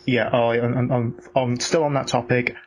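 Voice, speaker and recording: A man's voice: medium pitch, level tone, moderate pace, rough recording. A man chatting casually and giving blunt opinions in a sweary, ranting way. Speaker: podcast co-host. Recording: noticeable background noise.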